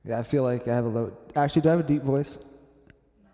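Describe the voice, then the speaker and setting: A young man with a mellow, dark tone swaps easy, teasing podcast banter. A long reverb hangs behind his voice in the room. He sounds relaxed and unhurried. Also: medium pitch. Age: young adult. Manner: laid-back, teasing, laughs easily. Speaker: podcast co-host. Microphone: studio microphone.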